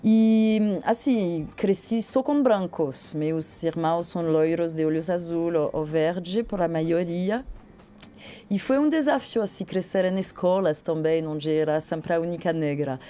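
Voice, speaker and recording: French woman, mellow, halting radio guest. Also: on the low side. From France. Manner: reflective, with frequent hesitations. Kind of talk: radio interview.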